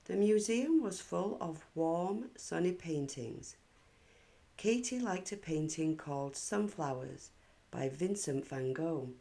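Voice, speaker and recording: A woman's voice: lowish voice, lively intonation, slow, gravelly, dark tone. A woman hosting and narrating a children's storybook read-aloud.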